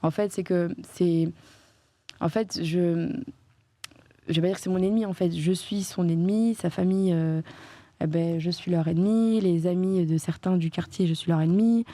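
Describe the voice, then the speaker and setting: A woman's voice: fairly low pitch, fairly even intonation, normal speed, dark tone, no echo. A French woman talking as a guest in a casual chat, earnest and emphatic as she tells what happened to her. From France.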